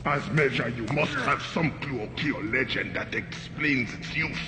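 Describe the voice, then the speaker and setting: A man plays a stern interrogator in a game cutscene. His medium-pitched voice rings through a reverberant hall over music. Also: lively intonation, moderate pace, natural voice.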